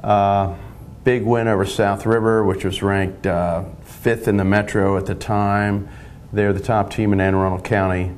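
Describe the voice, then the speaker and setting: An older man, a long-serving high school lacrosse coach, answering modestly and with restarts in a TV studio interview on a lapel mic. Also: little pitch movement, darkish tone, a little hiss or hum. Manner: modest, measured, stumbling restarts.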